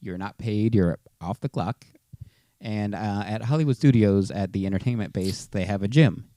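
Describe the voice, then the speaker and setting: A man's voice: fairly low pitch, moderate pace, warm tone, no echo. A man co-hosting a podcast, telling a personal story in a casual, conversational way. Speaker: podcast co-host.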